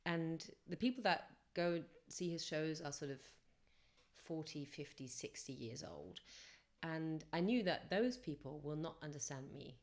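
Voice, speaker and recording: Brief: young female singer, low-pitched, chatty and reflective podcast guest, loose and rambling. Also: expressive, measured pace. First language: English first language. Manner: chatty, reflective, rambling with fillers and self-corrections. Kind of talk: casual podcast interview storytelling.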